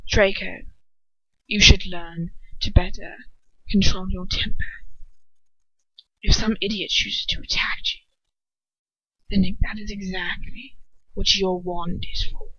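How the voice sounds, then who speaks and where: A woman's voice: mid-range voice, steady tone, takes it slowly, pauses often, a bit bright, slightly rough, dry recording. A woman voicing a cold, commanding aristocratic wizard father with curt, imperious delivery in a story. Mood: cold, impatient. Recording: dull, lo-fi home recording.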